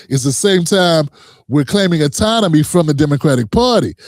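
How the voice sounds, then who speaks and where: Commentary host, high male voice, heated and profane, dry close sound. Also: dry recording. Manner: heated, emphatic, profane ranting; addresses viewers directly. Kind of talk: reaction commentary.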